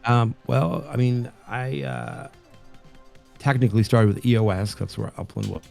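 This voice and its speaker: A man with a gravelly, mellow low voice chats loosely on a live stream. He stops and restarts often, filling gaps with "um" and "uh". Faint music plays underneath. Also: sing-song. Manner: halting, many fillers and restarts. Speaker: streamer co-host. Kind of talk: casual live-stream interview.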